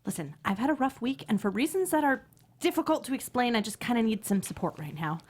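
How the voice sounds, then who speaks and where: A woman's voice: on the high side, big pitch swings, quick pace, a little hiss or hum. A woman acting an awkward, evasive young woman down on her luck, hesitant and bluffing, in a script table read.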